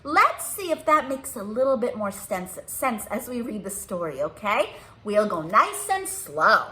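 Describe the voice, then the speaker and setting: A woman's voice: medium pitch, big pitch swings, measured pace, pushed and bright. A woman hosting a children's storytime, reading aloud in a sing-song way and asking young listeners simple questions. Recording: roomy echo.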